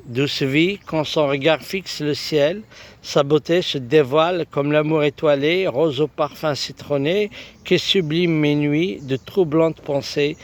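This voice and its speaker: A man reciting love poetry in a solemn, lyrical way, in short halting phrases. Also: on the high side. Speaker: poet reciting own poems.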